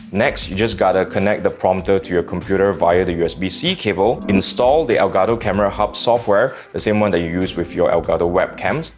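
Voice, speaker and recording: Malaysian guy doing a tech review, lowish voice, dry jokes, soft music behind. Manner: wry, joking asides. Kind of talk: scripted product review.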